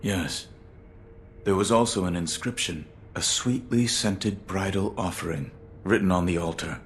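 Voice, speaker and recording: Very deep, stoic shinobi. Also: male voice, a little slow, slight background noise. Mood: solemn, restrained. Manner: terse, restrained, solemn. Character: stoic loyal adult male shinobi serving a young lord. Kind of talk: video game cutscene acting.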